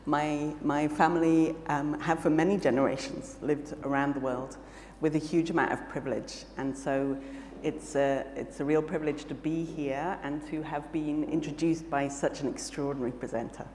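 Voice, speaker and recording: Woman with a british-south african mix accent, low voice, giving a keynote in a big reverby hall. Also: steady tone, normal speed, a little mellow, a little hiss or hum. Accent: mixed British and South African. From Malawi. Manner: earnest, reflective, with frequent fillers and restarts. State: jet lagged. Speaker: keynote speaker. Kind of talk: conference presentation. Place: large conference hall on stage. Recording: echoey hall, faint ambience.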